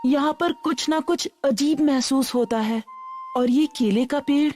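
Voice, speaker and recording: A bewildered young village maiden, played by a woman, speaks in a high voice with a questioning, dramatic manner. It is a cartoon storybook performance over faint music. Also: measured pace, darkish tone. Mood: wondering. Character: bewildered, plain-spoken young village bride-to-be.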